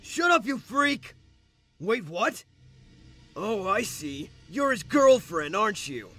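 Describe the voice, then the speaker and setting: A man voicing a brash, excitable young boy in a comic dub, speaking in exclamations. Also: high-pitched, takes it slowly, soft background music, exaggerated voice. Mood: hostile. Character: young boys, excitable and brash, cartoon humans.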